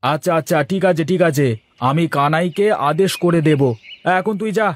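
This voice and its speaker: A man's voice: a little high, normal speed, natural voice. A man voicing a cunning, pushy village trickster in a cartoon moral story. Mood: threatening.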